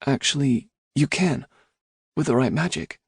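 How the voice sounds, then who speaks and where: Guarded young woman in a storybook read, put on by a male narrator: hesitant, earnest, rough-edged, close and dry. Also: mid-range voice, measured pace, dry recording, voice pushed up, full character voice. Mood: wary. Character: guarded young woman, wary yet hopeful.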